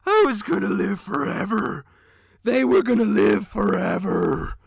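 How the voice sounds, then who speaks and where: A man's voice: sits high, lively intonation, measured pace, gravelly, breathy edge, dry recording, pitched above the performer's own voice, full character voice. A man voicing a dying brain monster in a tabletop game, screaming in desperate rage and despair.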